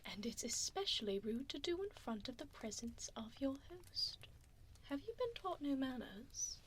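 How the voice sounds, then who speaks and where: A woman playing a cruel, haughty vampire hostess in an ASMR roleplay, speaking in breathy whispers and soft voice with condescending, formal diction, close to the microphone. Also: high-pitched, very animated, normal speed, slight background noise. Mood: cold, scolding. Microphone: close binaural microphone.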